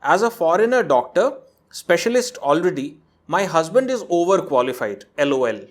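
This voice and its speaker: A man's voice: on the high side, big pitch swings, moderate pace. A man hosting a channel, reading out a viewer's emailed story and breaking in with his own asides. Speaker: host reading a viewer's letter. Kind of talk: narrated reading with commentary.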